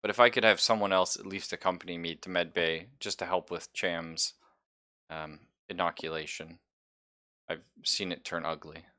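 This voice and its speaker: A man's voice: low voice, steady tone, moderate pace, sharp tone, no room sound, studio quality. A man running a tabletop roleplaying game as game master, narrating casually.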